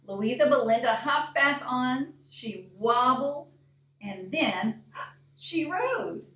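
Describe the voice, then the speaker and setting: Female children's storytime reader, higher-pitched, unhurried and expressive, recorded at a distance. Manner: deliberate, asks the young listeners questions. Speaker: librarian reading a storybook to children. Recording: echoey room, distant microphone.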